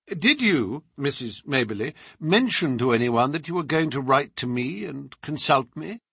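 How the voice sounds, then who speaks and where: Man playing a shrewd, sardonic detective, forceful delivery. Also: medium pitch, very animated, normal speed, creaky, slightly rough, no room sound, heavily acted. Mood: probing. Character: shrewd male detective, sardonic, commanding, teasingly polite.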